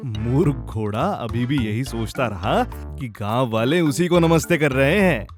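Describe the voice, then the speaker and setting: A man narrating a children's story in an expressive storytelling style. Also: mid-range voice, unhurried, over music.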